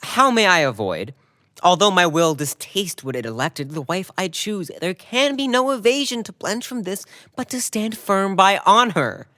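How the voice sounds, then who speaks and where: A woman's voice: medium pitch, big pitch swings, normal speed, a bit bright, no echo, clean studio sound. A woman playing a proud, scornful young prince in a Shakespearean audio drama, impassioned and rhetorical. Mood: impassioned argument.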